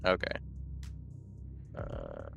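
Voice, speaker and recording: A man's voice: a bass voice, sing-song, drawn-out words, gravelly, a bit bright, faint music behind. A man taking part in a tabletop roleplaying game, talking casually about moves and dice rules.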